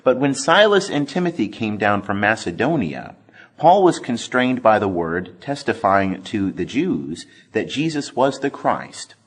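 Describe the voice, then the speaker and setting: A man reading scripture as scripted audiobook narration, with expressive, deliberate emphasis. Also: fairly low pitch, slightly rough. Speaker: scripture reader.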